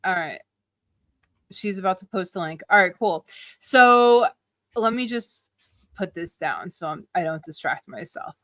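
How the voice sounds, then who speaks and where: A woman's voice: fairly low pitch, lively intonation, a little slow, long pauses, fairly bright tone, no room sound, studio quality. A woman hosting a casual livestream interview in an effusive, chatty way. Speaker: host.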